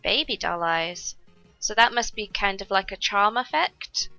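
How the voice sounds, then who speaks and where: A woman's voice: medium pitch, animated, measured pace, pushed and bright, no echo, lo-fi sound, soft background music. A young woman recovering from a cold, chatting through her gameplay and explaining each step to viewers. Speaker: gaming YouTuber. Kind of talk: casual gameplay commentary.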